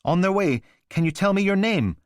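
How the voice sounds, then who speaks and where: A man voicing a steady female emergency call handler, firm and measured. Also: high voice, dry recording, studio quality, voice pushed up.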